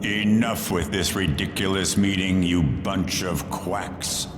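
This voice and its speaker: Grim, contemptuous commander type, deep unhurried voice, dismissive game character in a noisy echoing hall. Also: male voice. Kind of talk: video game dialogue.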